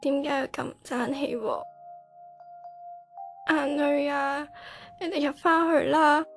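A woman's voice: high voice, steady tone, takes it slowly, faint music behind. A woman acting a sensitive, dramatic nineteen-year-old daughter in a radio drama, with a Hong Kong Cantonese accent. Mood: tearful farewell.

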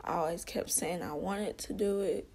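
A woman's voice: on the low side, normal speed, a little mellow, slight background noise. A woman giving an earnest personal testimony, speaking conversationally, calling her listeners y'all and repeating herself with small slips. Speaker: vlogger.

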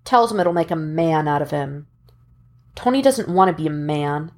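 Woman, slightly low voice, scripted storytelling, amateur audiobook narration, measured delivery, dramatic pauses. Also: lively intonation.

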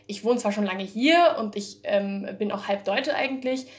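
Gentle woman interviewer from Spain. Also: medium pitch, moderate pace. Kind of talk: casual interview talk.

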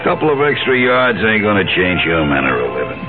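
An actor playing a smug, menacing streetwise blackmailer in a radio drama, with slangy, sneering delivery. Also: male voice, lowish voice, sing-song, a little fast. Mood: taunting menace. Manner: slangy, sneering, casually threatening. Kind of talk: 1970s radio drama acting. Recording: muffled 1970s radio recording, music behind.

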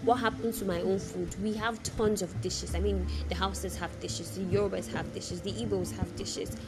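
A woman's voice: medium pitch, steady tone, normal speed. Emphatic Nigerian woman talking over music. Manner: emphatic, repeats phrases and uses rhetorical questions. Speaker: vlogger giving personal opinion. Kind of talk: casual opinionated monologue.

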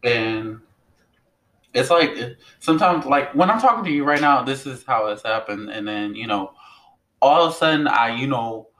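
A young man from New Orleans co-hosting a podcast, talking in a loud, animated, profane way. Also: mid-range voice, moderate pace, rough recording. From New Orleans, Louisiana. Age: young adult. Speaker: podcast co-host. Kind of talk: casual chat.

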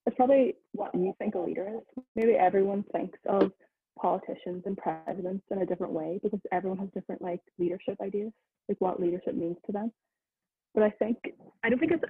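A woman's voice: mid-range voice, no room sound. A young woman, a student, chatting loosely in a discussion with lots of "like" fillers. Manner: rambling, many "like" fillers. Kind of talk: casual discussion. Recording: dry, phone-like, husky-sounding line.

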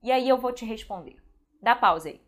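A woman's voice: on the high side, lively intonation, brisk, a bit bright, faint music behind. A woman with a Brazilian Portuguese accent, a translator talking chattily and directly to her viewers. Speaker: translator and video host. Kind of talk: casual talk to camera.

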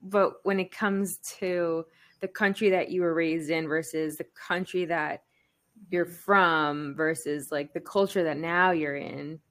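Podcast host, young woman, slightly low voice, friendly curious interviewer. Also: fairly even intonation, unhurried, a bit bright. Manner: friendly, curious questions, giggly. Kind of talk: casual interview chat.

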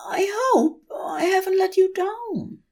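Audio story role: fussy, gentle male angel , done by a woman, high-pitched, prim and eager to please. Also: slow pace, full character voice. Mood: anxious. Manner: prim, fluttery, anxious to please.

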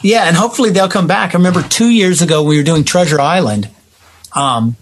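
A man with a husky voice talking conversationally as a theater maker being interviewed, emphatic and given to acting out what people said. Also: high voice, fairly quick, slight background noise. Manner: emphatic, acts out quoted reactions. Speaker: theater playwright and director, interview guest. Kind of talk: animated conversational storytelling.

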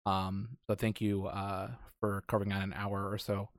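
A man's voice: fairly low pitch, flat delivery, unhurried, no room sound. Casual male podcast host, dry close sound.

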